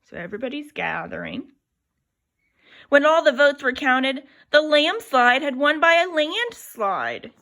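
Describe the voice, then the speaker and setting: A woman reading a children's picture book aloud in an expressive, lively storytelling manner. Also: high-pitched, a little slow, creaky.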